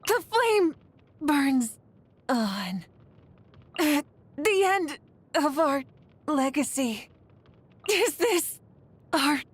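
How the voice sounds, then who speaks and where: A young woman voicing a confident, warm warrior leader of fire in a video game, speaking in a poised, earnest, assured way, with music behind her. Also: high voice, sing-song, drawn-out words, long pauses, heavily acted. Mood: pained, fallen. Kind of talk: video game character voice lines.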